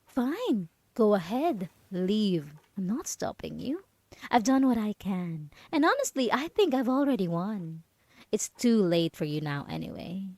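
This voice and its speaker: A woman's voice: mid-range voice, very animated, unhurried, darkish tone, no echo. A woman playing a possessive, mocking yandere roommate in an ASMR roleplay, teasing and condescending as she lingers on her words. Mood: smug. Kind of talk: dramatic ASMR roleplay monologue.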